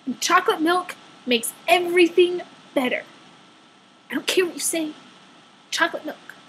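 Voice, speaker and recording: Very high-pitched young woman telling stories. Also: normal speed, a little hiss or hum, rough recording. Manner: animated, exaggerated retelling with quoted asides. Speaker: storytelling vlogger. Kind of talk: casual personal storytelling.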